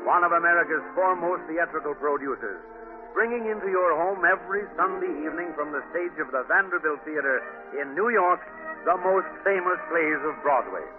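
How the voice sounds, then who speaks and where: Thin old radio sound, music behind, formal male announcer, fairly high voice, deliberate. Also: animated. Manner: grand, deliberate presentation. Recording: tinny 1940s radio recording, music behind.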